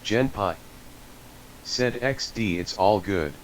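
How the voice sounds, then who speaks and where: A computer-generated male voice reads out chat messages very slowly. Its pitch sits fairly low and its delivery stays flat and mechanical. A little background noise hangs under it. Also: rough recording. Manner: flat synthetic reading of viewer messages. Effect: text-to-speech synthesis. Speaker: text-to-speech voice reading chat.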